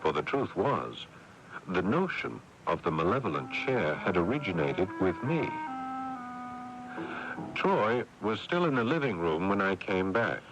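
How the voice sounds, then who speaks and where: Uneasy, low-voiced man, expressive, radio play. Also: a little slow, highs cut off, music in the background, full character voice. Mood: uneasy, reflective. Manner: hesitant, placating. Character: uneasy, conciliatory sibling narrating a family mystery. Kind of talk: 1980s radio drama acting and narration. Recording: noisy old radio broadcast recording.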